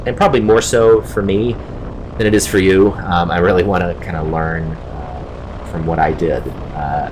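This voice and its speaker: Male amateur pilot vlogger, very low voice, casual and self-critical commentary, clear background noise. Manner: reflective, self-critical, lightly amused. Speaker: amateur pilot and flight video host. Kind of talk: casual off-the-cuff narration and live reaction commentary.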